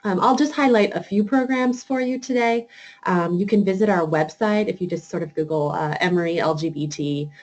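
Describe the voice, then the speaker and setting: A woman's voice: lowish voice, brisk, a little mellow. A young adult woman, program coordinator, giving an informational webinar talk with frequent hesitations and fillers.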